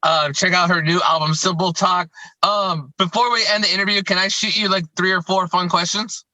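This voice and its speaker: A man's voice: high voice, steady tone, a little fast, pushed and bright, dry recording, rough recording. A man hosting a music interview in casual, slangy chat, enthusiastic and often repeating himself. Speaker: music show host interviewing.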